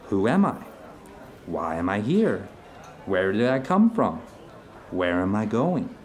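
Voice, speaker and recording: A man's voice: lowish voice, sing-song, slow pace. A man playing an earnest theater director telling stories to an old friend in a conversational audio drama. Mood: earnest explaining. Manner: storytelling, earnest, discursive. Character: animated theater director recounting his travels to an old friend.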